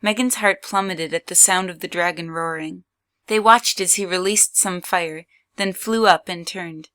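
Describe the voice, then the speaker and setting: A woman's voice: lowish voice, moderate pace, a bit bright. A woman reading scripted third-person story narration as the narrator of an audio drama.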